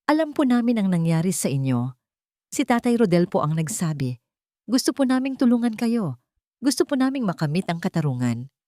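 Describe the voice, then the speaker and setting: A woman's voice: medium pitch, big pitch swings, fairly quick, dark tone, dry recording, clean studio sound, pitched above the performer's own voice, natural voice. Woman voicing an earnest, determined young heroine. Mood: pleading.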